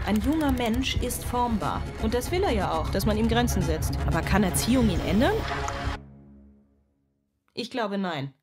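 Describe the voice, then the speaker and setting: An actress playing an obsessive, distrustful single mother in a radio drama, cold and deliberate. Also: on the high side, lively intonation, close to an everyday voice. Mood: insistent. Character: obsessive, distrustful single mother plotting against her child. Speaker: actress, lead and narrator of a radio play. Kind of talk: German radio drama monologue. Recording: music under the voice.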